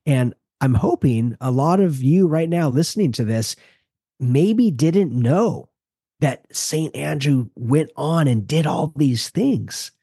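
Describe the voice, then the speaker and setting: A man with a relaxed, mellow voice co-hosts a religious discussion. He speaks earnestly and conversationally, often dropping in "you know". The sound is close and dry. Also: on the high side, slow, husky, no room sound. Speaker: podcast co-host.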